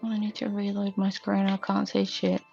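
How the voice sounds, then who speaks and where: Raspy-edged woman asking tentative questions. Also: medium pitch, completely flat delivery, moderate pace, darkish tone, soft background music. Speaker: player. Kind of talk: casual chat at a tabletop game. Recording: dry, slightly dull mic.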